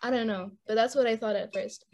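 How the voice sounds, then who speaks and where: A woman's voice: a little high, steady tone, brisk, darkish tone. A young woman chatting casually with a friend, teasing her playfully. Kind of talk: casual chat.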